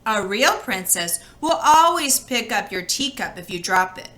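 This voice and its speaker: A woman reading a children's story aloud expressively, with drawn-out, dramatic emphasis. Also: mid-range voice, very animated, a bit bright. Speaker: storybook reader. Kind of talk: expressive children's read-aloud, with casual asides.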